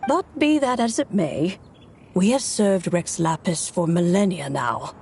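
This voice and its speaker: A wise, gently amused immortal in a video game. Her voice is lowish with a clear creak, poised and composed. Some rumbly noise sits behind it. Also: animated, unhurried. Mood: wry, reassuring. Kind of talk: video game character acting.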